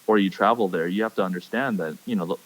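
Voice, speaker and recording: A young man from Hawaii, a pro angler, chatting as a podcast guest and speaking haltingly with many fillers. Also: low voice, low-quality recording. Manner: hesitant, many fillers and restarts. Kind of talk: casual podcast chat.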